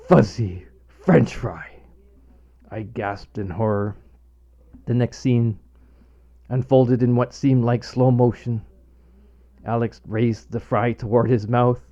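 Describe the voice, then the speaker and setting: A man's voice: mid-range voice, dark tone. A middle-aged man reading his own scripted humorous short story aloud as narrator. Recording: dull, lo-fi home recording.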